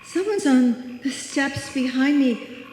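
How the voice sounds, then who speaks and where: A woman playing a frightened woman alone at night, talking haltingly to herself in a live radio suspense play. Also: sits high, lively intonation, darkish tone. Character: frightened woman walking home alone at night, self-reassuring. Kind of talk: live old-time radio suspense acting. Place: live stage performance in a hall. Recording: echoey hall, long reverb, faint noise.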